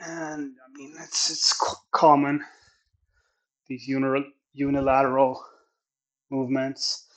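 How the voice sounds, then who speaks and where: A man whose first language is Swedish, talking in English through a training session and reflecting on it in a slow, halting way. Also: mid-range voice, little pitch movement, rough recording. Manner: slow, thoughtful, halting phrasing. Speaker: athlete training on camera. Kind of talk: reflective training vlog narration.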